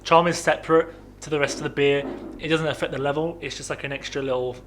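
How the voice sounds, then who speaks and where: A young man chats casually on a homemade podcast, joking and laughing between lots of ums. His voice sits a little higher than most men's. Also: steady tone, fast, fairly bright tone, a little hiss or hum. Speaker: podcast co-host. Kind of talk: casual chat over beer.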